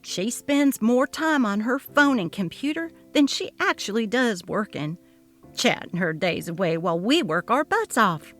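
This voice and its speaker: A woman's voice: a little high, a bit bright, exaggerated voice. A woman voicing a sassy, emphatic female coworker who loves gossip, in a dramatic story reading. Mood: indignant, scornful.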